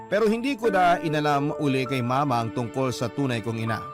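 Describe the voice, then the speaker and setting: A Filipino man narrates a radio drama in the first person from a script. His voice is mid-pitched, with music clearly playing behind him. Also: a little fast.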